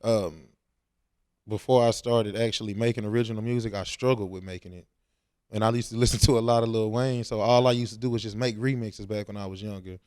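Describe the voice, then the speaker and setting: A man's voice: fairly low pitch, fairly even intonation, fairly quick, darkish tone, smooth, dry recording, clean studio sound. A young man, talking casually and slangily as a rapper in an interview. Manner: informal, slangy.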